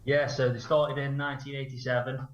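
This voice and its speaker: A man's voice: mid-range voice, level tone, a little fast. A man answering casually as a football coach in a radio interview, matter-of-fact and a little hesitant in his phrasing. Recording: roomy, slightly dull radio interview sound.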